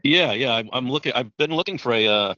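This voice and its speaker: Hesitant guy on a sales call, lots of uhs, bright tense voice, muffled audio. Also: medium pitch, expressive, fairly quick, no room sound. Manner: hesitant, many fillers. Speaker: sales prospect.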